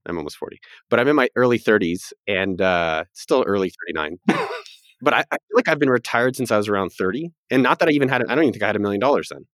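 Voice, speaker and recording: A man in his late thirties talks fast, cracking self-deprecating jokes as he hosts a podcast chat. His voice is fairly low. The sound is dry and close, with no room around it. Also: lively intonation, a bit bright, dry recording. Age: 30s. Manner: quick, joking, self-deprecating. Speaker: podcast host, business founder. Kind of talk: casual podcast conversation.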